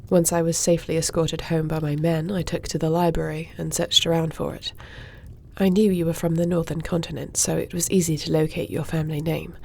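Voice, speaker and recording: Warrior princess played by a woman, fantasy audio roleplay: confident, formal, deep for a woman, gravelly edge. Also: little pitch movement, a little fast, dark tone, heavily acted. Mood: confident, cordial. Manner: assured, formal. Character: confident warrior princess, dragon shifter commander, self-assured.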